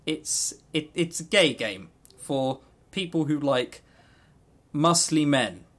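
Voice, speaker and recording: A man co-hosting a podcast, chatting casually about games and telling stories in an animated, jokey, sweary way. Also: on the high side, slow. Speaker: podcast co-host.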